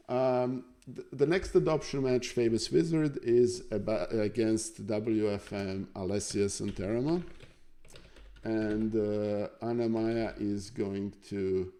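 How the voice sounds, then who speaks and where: A man from Serbia chatting casually to livestream viewers as host, speaking haltingly and thinking aloud. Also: lowish voice, mellow. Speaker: chess streamer, host.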